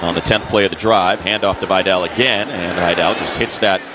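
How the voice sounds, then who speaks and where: A man's voice: deep voice, steady tone, brisk. A man doing live radio play-by-play of American football in clipped, descriptive phrases. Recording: crowd and ambient noise behind. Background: stadium crowd noise.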